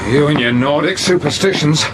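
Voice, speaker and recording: A man's voice: fairly high pitch, fairly quick, noisy background, natural voice. An actor playing a wry, sceptical man in a radio thriller. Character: wry, sceptical British man boarding a yacht.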